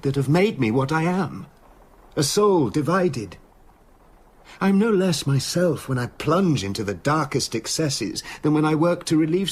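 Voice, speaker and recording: An actor playing a tormented, self-justifying doctor in a radio drama, speaking formally and intensely. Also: male voice, medium pitch, husky, exaggerated voice. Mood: impassioned.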